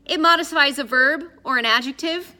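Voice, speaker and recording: A woman's voice: high-pitched, normal speed, sharp tone, voice pushed up. A woman voicing a cheeky, pedantic boy who talks in a theatrical, precise and indignant way in an audiobook story. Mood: pedantic, helpful. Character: cheeky, pedantic boy putting on outraged French airs.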